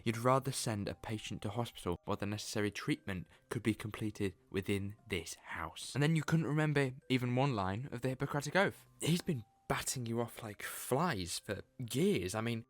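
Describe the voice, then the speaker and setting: A man's voice: medium pitch, normal speed, close to an everyday voice. A man playing an eccentric time-traveling detective. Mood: deductive. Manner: theatrical, excitable, mock-exasperated. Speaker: the Doctor. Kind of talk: fan audio drama acting. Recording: music score behind.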